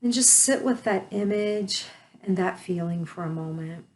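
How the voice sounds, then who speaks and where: A woman leading a guided meditation, speaking softly and soothingly with long pauses. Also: medium pitch.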